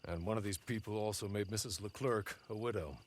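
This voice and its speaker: An actor playing a deferential, loyal manservant in a Western video game, formal and courteous in his measured speech. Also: on the low side, slight background noise, lightly acted. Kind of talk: video game cutscene acting.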